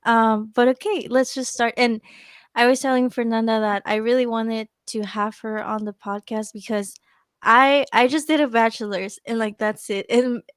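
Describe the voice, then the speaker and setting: Host: young woman, fairly high voice, smooth, close and dry sound, rambling questions. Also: steady tone, normal speed, no room sound. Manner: friendly, rambling, filler-heavy questions. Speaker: podcast host. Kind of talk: casual podcast interviewing.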